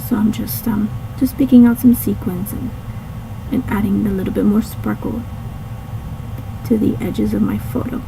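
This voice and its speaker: A woman with a slightly hoarse, raspy voice casually narrating her craft video, rambling with many ums and restarts. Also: medium pitch, fairly even intonation, normal speed, background noise. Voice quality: raspy, catching a cold. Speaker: scrapbooking channel host.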